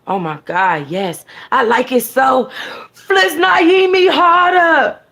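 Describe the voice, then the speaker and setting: A woman's voice: high-pitched, slow, creaky, a bit bright, pitched above the performer's own voice, full character voice. A woman voicing a dramatic, sassy, slangy young heroine in an audiobook-style romance reading. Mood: passionate.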